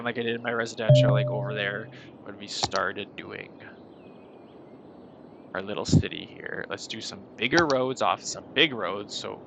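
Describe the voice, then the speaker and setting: A man with a head cold and a nasal voice, chatting casually and rambling while he plays a city-building game on stream. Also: fairly low pitch. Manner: rambling, chatty, self-deprecating. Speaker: gaming streamer. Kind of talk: casual gameplay commentary.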